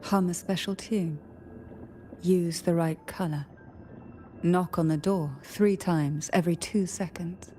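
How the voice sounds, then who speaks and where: An actress playing a mysterious woman who narrates a game story in a measured, enigmatic way. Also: fairly low pitch, fairly even intonation, dark tone, soft background music. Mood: hushed storytelling.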